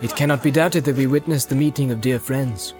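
A man voicing a stately old king, formal and courtly, full of measured wonder, in a storybook reading. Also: medium pitch, darkish tone, soft background music, close to an everyday voice.

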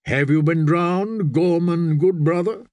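A man's voice: a little high, slow pace, a little mellow, dry recording, voice pushed up. A man voicing a stern, fervent sea-god priest in a fantasy audiobook, solemn and commanding. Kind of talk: dramatic fantasy audiobook character voice.

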